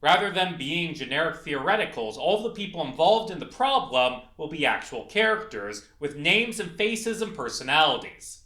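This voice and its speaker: Male narrator for scripted video essay. Lively, swinging intonation, forceful and dramatic, recorded in a reverberant room. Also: a little high, normal speed, few pauses. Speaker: indie game creator presenting a project.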